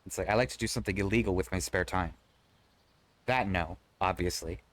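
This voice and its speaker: Low-voiced man chatting on stream. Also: brisk, a bit bright. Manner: conversational and rambling, frequent restarts, swears casually. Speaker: live streamer. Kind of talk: casual live-stream chat, reading and answering chat.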